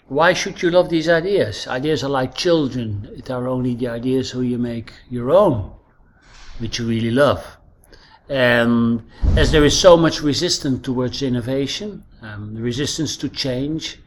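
A man with a Dutch accent answering interview questions as an innovation trainer, earnest and practical, pausing often with ums. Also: mid-range voice. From Netherlands.

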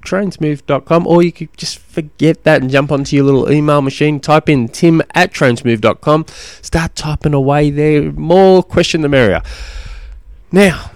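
A man hosting a casual solo podcast, slightly hoarse from a cold, rambling with many ums and restarts. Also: a little high, moderate pace, no room sound, clean studio sound. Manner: rambling, self-deprecating, lots of ums and restarts. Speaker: podcast host and cycling coach.

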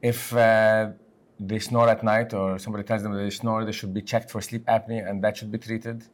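A man from Lebanon hosting a conversational medical podcast as a physician, speaking in halting, emphatic bursts. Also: fairly low pitch, little pitch movement. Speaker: podcast host, physician and section head.

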